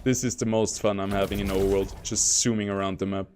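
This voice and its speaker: A man streaming a game, talking casually in a soft, fairly monotone voice and rambling from one thought to the next. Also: fairly low pitch, fast talker, a little hiss or hum. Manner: self-described monotone, soft bedroom voice; rambling, chuckles between remarks. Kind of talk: casual gameplay commentary. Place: home.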